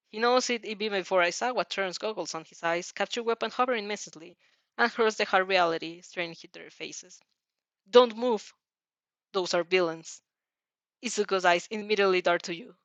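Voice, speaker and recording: Female story narrator, mid-pitched, dramatic but halting delivery, dry and slightly dull home recording. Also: fairly bright tone, no room sound. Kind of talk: expressive fan fiction read-aloud.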